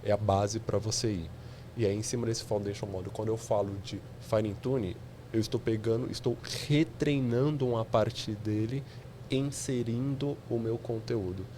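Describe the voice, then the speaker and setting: A man's voice: lowish voice, fairly even intonation, normal speed, dark tone, slight background noise. A man, a podcast guest explaining technical ideas step by step with examples.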